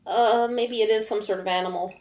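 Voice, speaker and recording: A woman's voice: mid-range voice, steady tone, a little fast, darkish tone. A woman player in a tabletop roleplaying game, casually improvising as her character and describing her actions.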